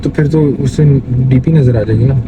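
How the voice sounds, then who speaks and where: A man's voice: mid-range voice, normal speed, dull audio, heavy background noise. A man chatting casually as a prank caller between calls.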